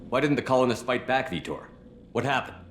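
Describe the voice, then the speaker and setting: A man playing a brisk human commander. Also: medium pitch, slight background noise, natural voice. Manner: calm, brisk, matter-of-fact. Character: decisive male human commander.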